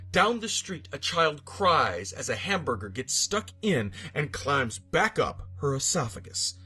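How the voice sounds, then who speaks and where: A man's voice: mid-range voice, pushed and bright, breathy edge. A man giving a dramatic scripted narration of absurd fiction, with a theatrical, emphatic delivery. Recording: music bed behind narration. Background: background music.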